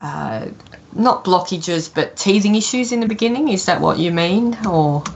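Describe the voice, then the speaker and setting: A woman's voice: lowish voice, lively intonation, moderate pace, vocal fry, a little mellow, dull audio. A woman hosting a talk show, asking hesitant questions and answering with many short affirmations. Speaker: radio show host. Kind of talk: casual interview chat. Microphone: internet call.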